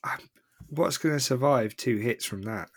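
A man's voice: medium pitch, normal speed, husky. A man co-hosting a podcast, joking and picking at the wargame rules for loopholes in casual chat. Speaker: podcast co-host.

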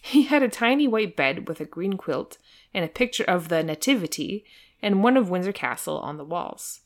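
A woman's voice: fairly low pitch, lively intonation, moderate pace. A woman co-hosting a podcast, moving between dramatic storybook narration and casual, amused chat. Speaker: podcast co-host and reader.

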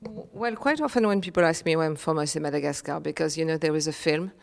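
A woman with a low, gravelly voice, a Creole speaker from Réunion. She talks earnestly, pausing on fillers and restarting her sentences. Her voice rings with a long reverb. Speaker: guest. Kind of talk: reflective conversational interview.

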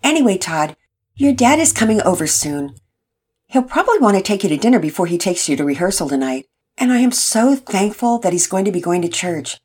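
A woman playing a soft-spoken, devout mother who speaks gently and a bit hesitantly. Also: medium pitch, big pitch swings, a little fast, breathy edge. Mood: gentle.

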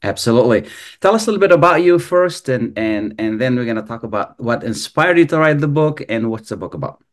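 A man's voice: medium pitch, lively intonation, fairly quick. A man hosting an interview, welcoming his guest and chiming in with short affirmations. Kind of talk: radio interview host.

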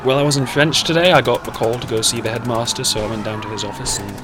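A woman's voice: rumbling low, steady tone, fast. A woman playing a sardonic, cheeky teenage schoolgirl in an audio drama. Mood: exasperated, dry.

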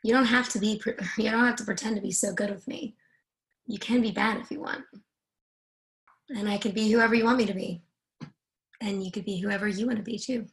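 A woman's voice: mid-range voice, steady tone, brisk, long pauses, natural voice, poor audio. A woman playing a seductive, controlling married woman in a screenplay read, teasing and commanding by turns. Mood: flirtatious, teasing.

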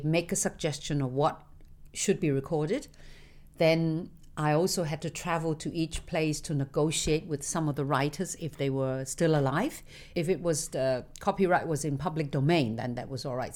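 Older woman with a low voice. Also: a little mellow. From Hong Kong. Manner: anecdotal, laughs between stories. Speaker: singer interviewed as guest. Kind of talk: reminiscing interview.

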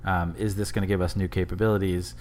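A man's voice: deep voice, level tone, fast talker, a little mellow. A man co-hosting a podcast, talking in a conversational discussion style. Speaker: podcast co-host.

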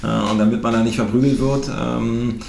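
A man's voice: mid-range voice, steady tone, moderate pace, gravelly, darkish tone. Chatty man from eastern Germany. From Mecklenburg-Western Pomerania, eastern Germany. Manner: chatty, many fillers and tag questions. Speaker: podcast host. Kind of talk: casual conversation. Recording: roomy with faint noise.

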